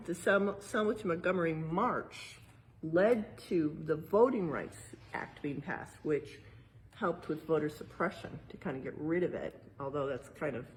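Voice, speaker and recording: American woman reading aloud, lowish voice, noisy background. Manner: simple, explanatory, addressing young listeners. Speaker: museum educator reading a picture book aloud. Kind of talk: read-aloud with explanatory asides.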